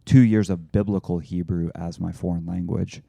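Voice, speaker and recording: A man with a low, very dark voice talks casually as a podcast co-host. He rambles and restarts often, and sounds close and dry on the mic. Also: fairly even intonation, moderate pace, creaky, dry recording. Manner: rambling, frequent restarts and fillers, laughs easily. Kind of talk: casual discussion.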